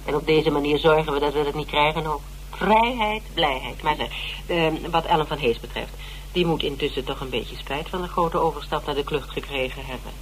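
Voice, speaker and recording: A woman's voice: sits low, fairly quick, close to an everyday voice. A woman playing a shrewd, inquisitive sleuth in a radio drama, pondering and probing dryly. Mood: dryly curious. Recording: noisy, humming old radio play tape.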